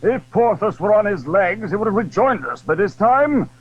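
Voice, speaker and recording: An actor playing a cool, judicious musketeer resigned to danger in an audio drama of a classic adventure novel. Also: male voice, high voice, lively intonation, moderate pace, slightly rough, no room sound. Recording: dull, lo-fi old audio drama recording.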